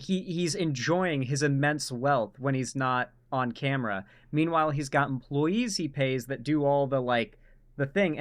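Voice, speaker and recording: A man's voice: fairly high pitch, fairly bright tone. A man hosting a reaction commentary, talking in an emphatic, mocking rant. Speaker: commentary host.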